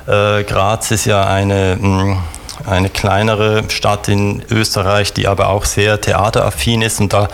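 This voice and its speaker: A middle-aged man from Switzerland, a theater director answering interview questions thoughtfully with many pauses and restarts. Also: fairly low pitch, little pitch movement, gravelly, a little hiss or hum.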